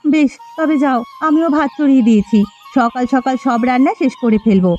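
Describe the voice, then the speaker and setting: A woman's voice: high voice, brisk, a little mellow, natural voice. A woman voicing a caring, anxious village housewife in a moral-story cartoon. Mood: homely. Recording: dull lo-fi sound with music behind.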